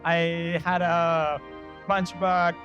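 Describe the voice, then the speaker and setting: Chilean guy w spanish accent chatting casually in interview, voice a bit high, music under it. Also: level tone, very slow, fairly bright tone, lo-fi sound. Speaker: comedian. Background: background music.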